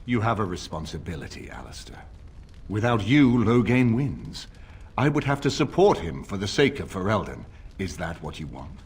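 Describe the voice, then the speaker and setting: Low male voice, grave nobleman, measured formal speech, varied intonation, video game drama. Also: big pitch swings, darkish tone, slightly rough, exaggerated voice. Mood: grave, resolute. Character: grave older nobleman lord rallying allies against a usurper.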